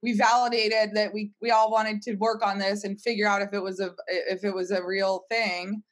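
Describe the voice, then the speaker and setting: A woman's voice: mid-range voice, level tone, normal speed. Woman casually explaining, mid-range voice. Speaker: startup team member.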